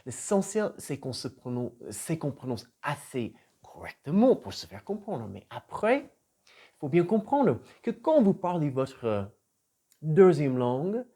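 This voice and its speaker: An American man from Florida, middle-aged, teaching with lots of energy. His voice is warm and mellow, and it jumps up for emphasis and drops low again, swinging through a wide range. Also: measured pace, husky. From United States (Florida). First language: English. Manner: animated and emphatic, swings between loud punches and soft drops. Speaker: English trainer and author. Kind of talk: motivational video lesson.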